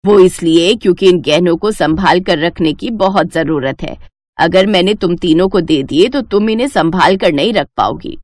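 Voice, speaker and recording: A woman voicing a stern, shrewd elderly mother-in-law in a cartoon moral story, speaking in a measured, commanding way. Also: lowish voice, darkish tone, no room sound, clean studio sound, pitched below the performer's own voice, close to an everyday voice. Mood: stern, challenging. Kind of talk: animated moral story dialogue.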